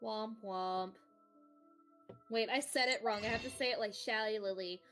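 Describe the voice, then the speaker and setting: A young woman chatting casually while gaming with a friend, excitable and teasing. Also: medium pitch, moderate pace. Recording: music behind the voice. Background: music.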